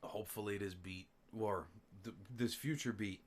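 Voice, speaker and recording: A man's voice: on the low side, fairly even intonation, moderate pace, a little hiss or hum. A man hosting a podcast and talking about making beats, laid-back, swearing casually and laughing often. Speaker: podcast host, beatmaker. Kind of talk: casual chat.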